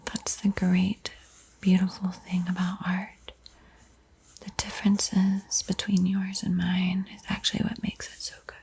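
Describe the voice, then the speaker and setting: A woman hosting an ASMR art tutorial in a soft, breathy whisper, gentle and unhurried, with frequent pauses. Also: mid-range voice, monotone. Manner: slow, gentle, pausing often.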